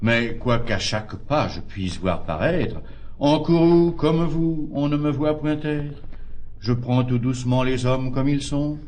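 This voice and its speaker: Reasonable, measured gentleman played by a man in verse. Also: medium pitch, slight background noise, lightly acted. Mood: calm, philosophical. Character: reasonable, tolerant, philosophical friend urging moderation. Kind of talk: classical French verse stage acting.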